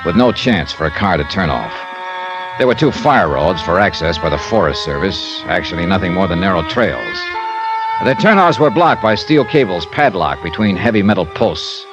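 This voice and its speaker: An actor playing a shrewd, breezy hard-boiled American investigator in an old radio detective drama. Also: male voice, fairly low pitch, lightly acted. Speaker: lead actor and narrator. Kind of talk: 1950s-style radio detective narration and acting. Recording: dull old radio recording with music.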